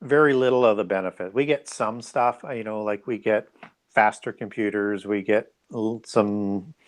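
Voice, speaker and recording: A man giving explanatory answers as an interview guest, speaking conversationally and haltingly with frequent check-in tags. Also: lowish voice, steady tone. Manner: halting, explanatory, often adds "right?" and "you know".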